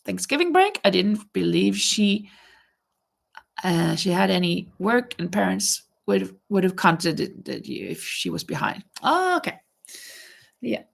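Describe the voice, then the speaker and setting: A middle-aged woman with a stuffy, nasal voice that has a gravelly edge. She talks slowly and chats easily with viewers while she works on her crafting. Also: mid-range voice, sing-song. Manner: chatty, reads viewer comments aloud, hums while working. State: stuffy nose, sounds nasal. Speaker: live-stream crafting host. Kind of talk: casual chat while crocheting.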